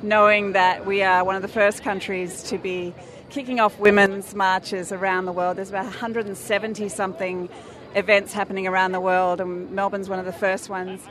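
A woman's voice: mid-range voice, little pitch movement, normal speed, fairly bright tone. An Australian woman speaking enthusiastically and off the cuff as a campaign organiser in a street interview. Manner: enthusiastic, effusive. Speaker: anti-nuclear campaign organiser being interviewed. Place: outdoors in a city street. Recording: outdoor city recording with crowd noise.

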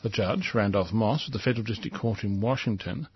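A man's voice: lowish voice, a little fast, slightly rough, dull audio. A man hosting a talk show, reading out a newspaper editorial and adding his own earnest, emphatic comments. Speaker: radio host reading an editorial.

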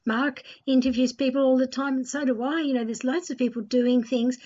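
High-voiced woman hosting a radio discussion. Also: fairly even intonation, a little fast, mellow. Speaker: host leading a panel discussion. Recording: dull, lo-fi sound.

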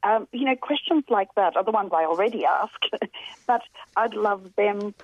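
A woman's voice: medium pitch, moderate pace, no room sound. A woman in her forties, a guest talking thoughtfully with many fillers and restarts, over a call. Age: 40s. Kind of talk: reflective interview talk. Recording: tinny phone-like line, dry.

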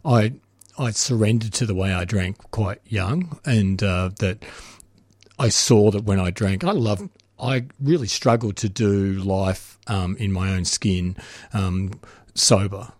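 A man's voice: on the low side, slow, dry recording. A middle-aged man talking as a guest, speaking frankly and reflectively about himself in an open conversation. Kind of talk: candid conversation.

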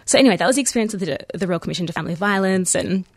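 An Australian woman in her thirties, a guest on a community radio show, explaining at length in a measured way with frequent ums. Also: medium pitch, no echo. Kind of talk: radio interview. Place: radio studio.

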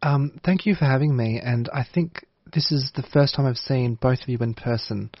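Aussie guy policy advisor on the radio, calm explaining, dry dull audio. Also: mid-range voice, no room sound. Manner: measured, explanatory. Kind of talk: radio interview. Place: radio studio.

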